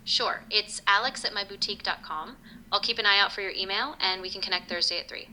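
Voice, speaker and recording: A synthetic voice playing a friendly female boutique owner with a strikingly bright, crisp-edged tone. She is polite, curious and agreeable, chatting conversationally as if taking a sales call. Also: mid-range voice, a little fast, slight background noise, rough recording. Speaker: AI voice assistant in a sales call role-play. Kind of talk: conversational role-play, playing a prospective customer.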